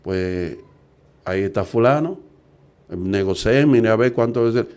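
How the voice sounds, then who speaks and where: A man's voice: lowish voice, expressive, unhurried, a little mellow. A middle-aged man, preaching earnestly and asking rhetorical questions. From Dominican Republic. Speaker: evangelist preacher. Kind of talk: preaching talk.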